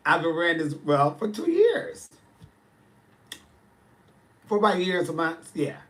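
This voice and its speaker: A man's voice: fairly high pitch, huge pitch swings, takes it slowly, long pauses, fairly bright tone. A man, chatting casually on a livestream and answering viewers' comments with sass. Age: 40s. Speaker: livestream host.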